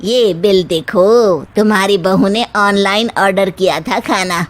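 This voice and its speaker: A woman's voice: medium pitch, unhurried, background noise, lightly acted. A woman playing an outspoken, gossipy older woman in a cartoon story, speaking in a pointed, emphatic way. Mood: reproachful. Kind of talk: cartoon moral story character acting.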